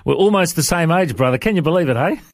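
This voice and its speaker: Chummy host, slightly high voice. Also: male voice, expressive, fast, dry recording, studio quality. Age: 40s. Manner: chummy, calls guest mate and brother. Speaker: podcast host. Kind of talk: casual interview.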